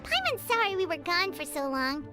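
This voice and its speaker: A woman voicing a tiny, excitable female companion creature who talks about herself in the third person. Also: extremely high pitch, normal speed. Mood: chatty. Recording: game audio over loud music.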